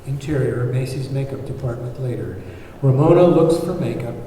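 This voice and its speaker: A man's voice: medium pitch, normal speed, mellow. A man narrating the stage directions of a script at a table read. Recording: very echoey hall, far mic, faint noise.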